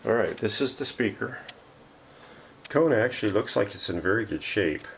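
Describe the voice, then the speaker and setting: Low, gravelly man showing his workshop project. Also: lively intonation, moderate pace, noisy background. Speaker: host of a vintage radio restoration channel. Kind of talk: casual hands-on workshop vlog, unscripted show-and-tell. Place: home living room and workshop, indoors.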